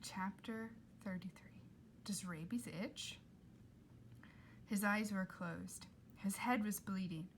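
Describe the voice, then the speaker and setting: A woman reading a children's novel aloud in a dramatic, expressive storytelling style. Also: mid-range voice, slow, long pauses, a little hiss or hum. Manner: dramatic, with emphatic character voices.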